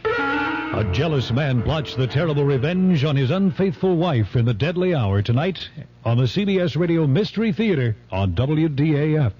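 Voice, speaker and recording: A man's voice: a little high, big pitch swings, moderate pace, a little mellow. A man with an American accent reading a dramatic scripted promo as a radio announcer. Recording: dull vintage radio broadcast recording. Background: music.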